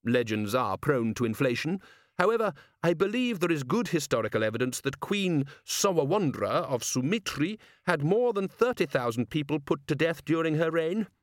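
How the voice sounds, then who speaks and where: A man acts out an urbane, commanding city ruler from a storybook. His speech is formal and measured with a deadpan wit, and the sound is dry and close. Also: on the high side, no room sound, voice pushed up. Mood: dryly lecturing.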